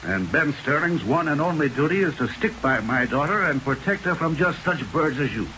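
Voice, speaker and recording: An actor playing a stern, suspicious middle-aged small-town mayor, speaking in a clipped, commanding way in 1940s radio drama style. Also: male voice, fairly high pitch, animated, normal speed, natural voice. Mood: accusing, indignant. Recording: old radio recording, faint rain hiss.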